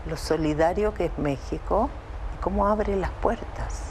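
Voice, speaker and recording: An older woman from Chile, interviewed as an evacuee from Israel, speaking in a measured, reflective way with hesitant restarts. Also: lowish voice, background noise. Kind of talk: emotional interview testimony.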